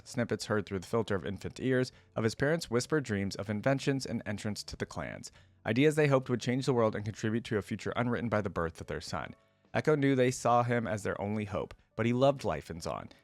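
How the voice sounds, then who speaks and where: Low-pitched man, dry close sound. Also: dry recording. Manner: casual, slangy asides. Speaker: reaction video host. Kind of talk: story read aloud with casual fan commentary.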